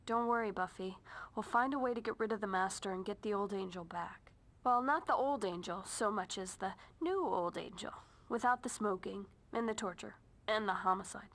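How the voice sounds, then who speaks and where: A woman's voice: mid-range voice, moderate pace, a bit bright. Earnest young witch. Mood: reassuring. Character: earnest, supportive young female witch friend. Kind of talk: video game cutscene dialogue.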